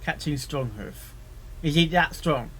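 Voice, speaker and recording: A man with a slightly high voice comments casually as a reaction host. He interjects in short, musing phrases and often turns them into questions. The recording has clear background noise. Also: animated, unhurried, a bit bright, poor audio.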